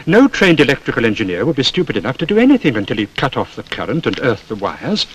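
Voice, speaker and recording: A British actor playing a knowing, patient but stern safety teacher, explaining and admonishing in a scripted public information film. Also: male voice, mid-range voice, wildly swooping pitch, slightly rough. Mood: firm, admonishing. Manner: instructive, admonishing, measured explanations. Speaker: safety film narrator and instructor.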